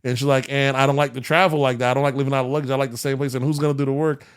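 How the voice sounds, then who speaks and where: Clear-voiced man in slangy podcast chat. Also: medium pitch, flat delivery, very fast. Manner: slangy, profane, rambling. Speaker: podcast co-host. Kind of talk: casual opinionated podcast chat.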